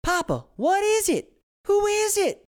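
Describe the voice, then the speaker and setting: A man's voice: very high-pitched, wildly swooping pitch, unhurried, voice pushed up, exaggerated voice. Man putting on a fussy old wife. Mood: fussing. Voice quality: sweet drawl. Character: old Southern country wife, bossy and fussing.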